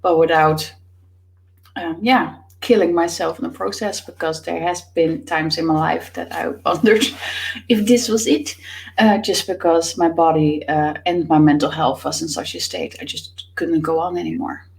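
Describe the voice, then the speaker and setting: Woman, Dutch accent, 30s, somewhat low pitch, thoughtful, frequent ums and uhs, interview guest. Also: moderate pace, gravelly. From Netherlands. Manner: thoughtful, many fillers, laughs often. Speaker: author and business coach, guest. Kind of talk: casual interview answers.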